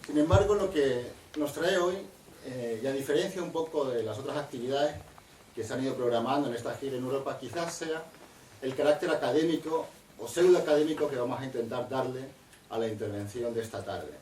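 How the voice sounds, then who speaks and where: A middle-aged man introduces a lecture in a measured, academic way, tagging his sentences with little questions. His voice sits at an ordinary pitch for a man. He is heard from a distance in a reverberant university room, with a faint hiss underneath. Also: darkish tone. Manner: measured and discursive, with frequent tag questions. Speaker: moderator and historian. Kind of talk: academic introduction of a guest speaker. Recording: echoey, distant room recording with faint noise.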